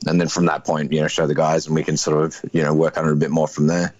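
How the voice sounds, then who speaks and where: A man in his thirties with a deep voice, a guest musician talking casually in an interview, laid-back and hedging with lots of fillers. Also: little pitch movement, fast, darkish tone, no room sound, lo-fi sound. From Australia. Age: 30s.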